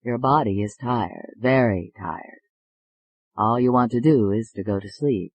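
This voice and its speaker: A narrator voicing a calm male psychiatrist with a slow, soothing manner in an audiobook. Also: medium pitch, no room sound, lo-fi sound, pitched below the performer's own voice, close to an everyday voice. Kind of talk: audiobook character voice.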